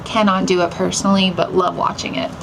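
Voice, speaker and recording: A woman's voice: lowish voice, little pitch movement, quick pace. A woman co-hosting a travel vlog, chatting casually in an upbeat, appreciative way. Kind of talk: casual chat recapping the day. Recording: clearly noisy background ambience.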